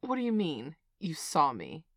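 A woman's voice: deep voice, huge pitch swings, a little slow, a bit bright, dry recording, clean studio sound, heavily acted. A woman acting a flustered parent in a scripted audio roleplay, who swings between scolding and soothing. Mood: stern. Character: flustered protective parent, middle-aged.

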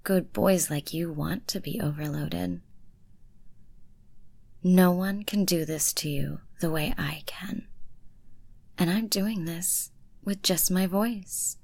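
A woman with a low voice and a gravelly, fry-tinged edge speaks close to the mic in a soft, commanding murmur that often drops into a whisper. Her delivery is extremely slow and unhurried, and the sound is dry and intimate. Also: no echo. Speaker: ASMR creator. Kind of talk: erotic ASMR audio.

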